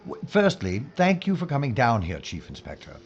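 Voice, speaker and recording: An actor playing a dutiful, earnest police inspector in a wartime audio drama, speaking in a formal, grave and courteous way. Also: male voice, medium pitch, huge pitch swings, moderate pace, gravelly. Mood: deferential, earnest. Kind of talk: period audio drama dialogue. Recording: slightly muffled with background noise.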